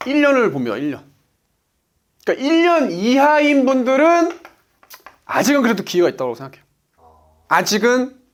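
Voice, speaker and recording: A man in his forties giving a motivational business talk as a coach, emphatic, asking rhetorical questions and answering them himself. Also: high-pitched. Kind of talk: motivational lecture.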